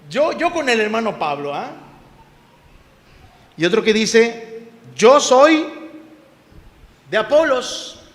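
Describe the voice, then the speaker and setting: A man's voice: sits high, fairly bright tone. A man preaching a sermon to his congregation, asking them questions and raising his voice for emphasis. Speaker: pastor. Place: church hall. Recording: echoey church hall, faint ambience.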